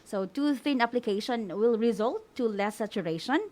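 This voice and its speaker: Female co-host, slightly high voice, Visayan Filipino accent, scripted teaching copy mixed with conversational asides. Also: animated, moderate pace, darkish tone. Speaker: co-host of a radio art class. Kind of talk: scripted lesson narration with chatty asides.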